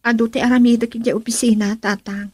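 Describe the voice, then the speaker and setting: A woman's voice: on the high side, level tone, fast, a little mellow, husky, no echo, clean studio sound. An actress playing a young daughter protesting to her father, in Ilocano radio drama style with an Ilocano accent.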